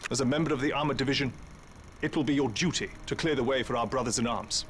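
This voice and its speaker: An actor playing a crisp, authoritative British army major with an eager streak, in a video game recruitment film. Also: male voice, mid-range voice, fast talker, a bit bright, slight background noise, natural voice. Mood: brisk, proud. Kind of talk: military recruitment film narration.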